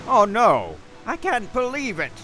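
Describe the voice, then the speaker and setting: Guy doing a blustery pirate captain, kinda high voice, very animated, music behind. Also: unhurried, full character voice. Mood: alarmed. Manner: theatrical pirate talk. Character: blustering male pirate ship captain, easily alarmed. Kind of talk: comedy skit acting.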